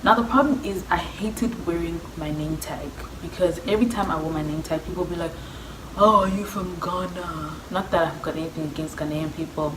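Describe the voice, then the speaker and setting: A young South African woman with a low voice chats casually to her viewers. She tells her story in slang and acts out each side of the conversations. The sound is echoey, with clear background noise. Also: brisk. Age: young adult. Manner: chatty, slangy, acts out reported dialogue. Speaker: vlogger.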